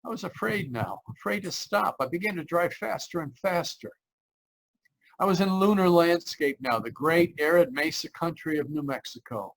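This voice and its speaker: A haunted young traveler, acted by a man, speaks in a fairly high voice with 1940s radio drama intensity. He halts, stammers and repeats himself, sounding frightened and desperate. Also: lively intonation, gravelly, rough recording. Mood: dread, unravelling. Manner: halting, stammering, repeating phrases. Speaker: actor narrating and playing the lead. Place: remote live performance over video call.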